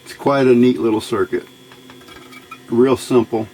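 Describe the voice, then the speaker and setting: A man's voice: mid-range voice, measured pace, mellow, slight background noise. A man giving a casual hands-on electronics tutorial, unscripted and muttering to himself as he works. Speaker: electronics hobbyist, channel host. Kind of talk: casual hands-on build tutorial, thinking aloud. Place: indoor workbench.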